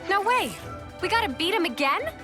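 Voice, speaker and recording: An excitable, anxious young female fighter in a game cutscene. Her voice is very high and girlish, with faint music behind. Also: normal speed. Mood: alarmed. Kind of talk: video game cutscene acting.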